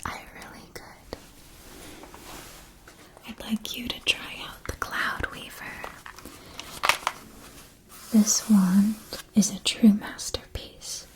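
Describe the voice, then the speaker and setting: A gentle, attentive keeper of a magic wand shop, played by a woman in an ASMR fantasy roleplay. She speaks in a soft whisper and takes her time over each phrase. Her words are carefully formed and soothing. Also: mid-range voice, natural voice, rough recording. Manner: slow, soothing, careful enunciation. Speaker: ASMR performer.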